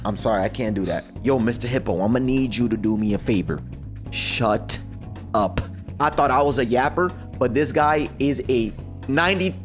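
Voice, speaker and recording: Guy streamer doing reaction commentary, mid voice, slangy and jokey exasperated, some music under him. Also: medium pitch. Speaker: streamer reacting to game voice lines.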